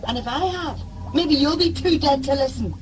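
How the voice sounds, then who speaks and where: A woman's voice: sits high, moderate pace, noisy background, lightly acted. An actress playing a blunt, impatient, commanding woman convict in an audio drama. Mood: angry, impatient. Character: sharp, impatient, commanding woman convict aboard a scavenger ship.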